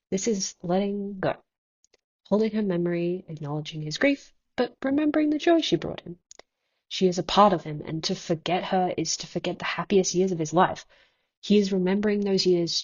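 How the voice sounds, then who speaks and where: A woman delivering a prepared, reflective voice message in an earnest, measured manner, on a lo-fi recording. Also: mid-range voice. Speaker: listener sending a voice message. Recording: dull, lo-fi voice message.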